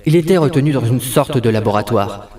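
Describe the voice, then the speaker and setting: A man's voice: medium pitch, lively intonation, a little fast, a little mellow, lightly acted. A man playing a weary, wry and stubborn 1930s private detective in a French audio drama, narrating and talking in noir style. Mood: brooding narration.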